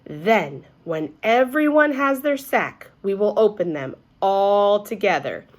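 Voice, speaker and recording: A woman's voice: mid-range voice, animated, slow. A woman voicing a cheerful male primary school teacher announcing to his class in a storybook.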